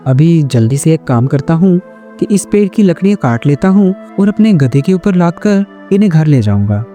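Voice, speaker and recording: Mellow, earnest poor village husband man acting. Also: fairly high pitch, very animated, normal speed, soft background music. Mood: thoughtful. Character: worried poor village husband and father, pleading, resourceful. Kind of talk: animated moral story dialogue.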